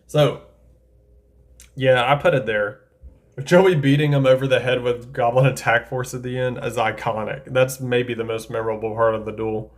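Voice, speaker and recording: A man's voice: mid-range voice, steady tone, moderate pace. A man giving casual, enthusiastic tier-list commentary, talking things through aloud and correcting himself as he goes. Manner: chatty, enthusiastic, thinks aloud with self-corrections. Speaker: anime tier-list creator.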